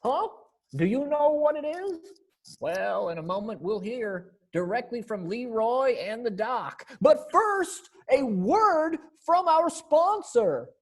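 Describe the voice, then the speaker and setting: A woman's voice: a little high, sing-song, slow. A woman hosting an amateur readers theater show, presenting informally in a playful, chatty way.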